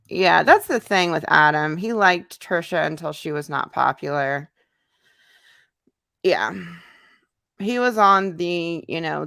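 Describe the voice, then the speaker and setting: Low, creaky-voiced American woman chatting. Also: fairly bright tone, dry recording, clean studio sound. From United States. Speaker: livestream host, YouTuber. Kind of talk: casual livestream chat, answering viewer comments.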